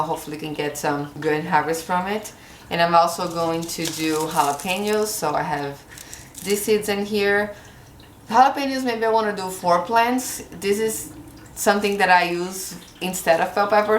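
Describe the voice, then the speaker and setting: A woman's voice: fairly low pitch, echoey room, slight background noise. A woman in her thirties with a Brazilian Portuguese accent, chatting casually to camera at home about her garden plans. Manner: chatty, gestures while holding up seed packets. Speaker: gardening vlogger. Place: indoor kitchen corner at home.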